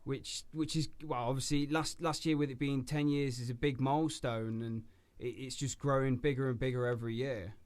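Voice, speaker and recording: Smooth English radio host, hesitant. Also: male voice, mid-range voice, steady tone, normal speed, darkish tone. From England. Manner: hesitant, fan-like, many restarts. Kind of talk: casual interview.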